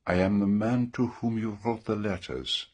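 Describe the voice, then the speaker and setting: A man playing a grave, methodical detective in a radio drama, questioning formally and deliberately. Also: fairly low pitch, darkish tone, natural voice. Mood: probing, calm. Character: methodical, probing older male detective, calm and grave.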